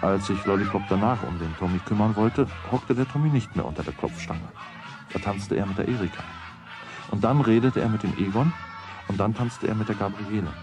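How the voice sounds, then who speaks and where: A middle-aged man narrating a children's radio play as a calm storyteller. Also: lowish voice, brisk, music in the background, close to an everyday voice. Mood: calm narration.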